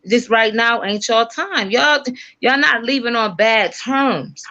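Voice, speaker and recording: A woman's voice: a little high, slow, pushed and bright. A woman in her thirties, a guest in a casual live-stream talk, speaking bluntly with slang. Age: 30s. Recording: muffled lo-fi stream audio.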